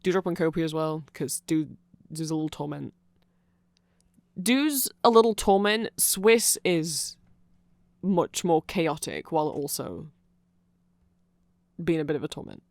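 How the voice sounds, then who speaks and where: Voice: medium pitch, very animated, unhurried, vocal fry, pauses often, dry recording. A person giving an informal, rambling ASMR-style explanation full of asides, close to the microphone. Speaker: ASMR audio creator.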